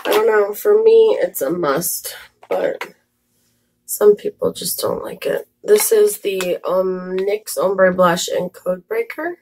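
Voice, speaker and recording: A woman with a scratchy, slightly hoarse voice, chatting casually through a makeup tutorial with rambling asides and fillers. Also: mid-range voice, slow pace, darkish tone. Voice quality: scratchy, raspy voice. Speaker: makeup vlogger.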